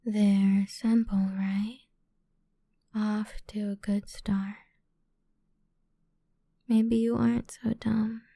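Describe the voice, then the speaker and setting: A woman playing a cruel, condescending female boss in an ASMR roleplay, speaking deliberately and often slipping into a whisper close to the microphone. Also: mid-range voice, little pitch movement, dark tone, no room sound, full character voice. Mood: coaxing, controlling. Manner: condescending, deliberate, drawn-out delivery with breathy whispers. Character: cruel, domineering female boss toying with an employee. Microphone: close microphone, dry.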